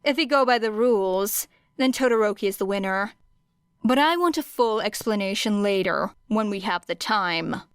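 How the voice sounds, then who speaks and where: A woman's voice: medium pitch, animated, moderate pace, clean tone, dry recording, clean studio sound, close to an everyday voice. A woman voicing a stern, exasperated female referee with a blunt, firm manner in an audiobook story.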